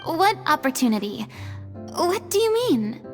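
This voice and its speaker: A woman's voice: high-pitched, very animated, unhurried, soft background music. A woman playing a dramatic young former stage star in a video game, with theatrical, grandiose phrasing. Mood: earnest.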